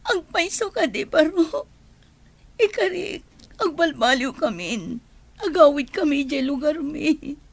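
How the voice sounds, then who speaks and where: A woman's voice: sits high, big pitch swings, a little slow, gravelly, no echo, heavily acted. A woman playing a sorrowful, remorseful prisoner with an Ilocano accent in an audio drama. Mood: pleading. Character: remorseful jailed adult woman, pleading and sorrowful. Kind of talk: Ilocano radio-style drama acting.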